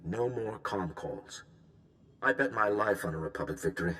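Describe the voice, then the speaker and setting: Low, grave statesman. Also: animated, moderate pace, pushed and bright, clear, resonant tone. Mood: grave urgency. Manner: terse, serious. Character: grave, remorseful male statesman urging on a hero. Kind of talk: dramatic video game dialogue.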